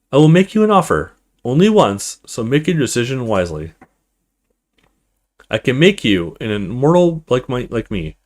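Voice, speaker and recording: A man's voice: medium pitch, a little mellow, lightly acted. A man voicing an ancient male vampire master, menacing and solemn, in a horror game story.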